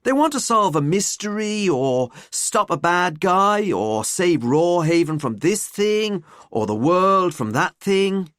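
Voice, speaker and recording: Woman putting on a flippant, animated teenage slacker boy. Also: fairly low pitch, slow, hardly any pauses, no room sound, studio quality, pitched above the performer's own voice. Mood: sardonic exasperation. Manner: flippant, emphatic. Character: lazy, sardonic teenage schoolboy.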